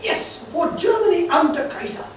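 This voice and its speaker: A woman's voice: very high voice, big pitch swings, normal speed. Woman playing reluctant German saboteur, strongly echoing. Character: reluctant male German saboteur named Hans. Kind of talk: live amateur comic radio play. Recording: echoey room, distant mic, noisy background.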